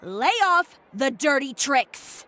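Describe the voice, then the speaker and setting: A woman playing a cool, haughty, threatening young woman in a video game, composed and sardonic with cutting, deliberate phrasing. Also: sits high, lively intonation, sharp tone, exaggerated voice. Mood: cold, threatening.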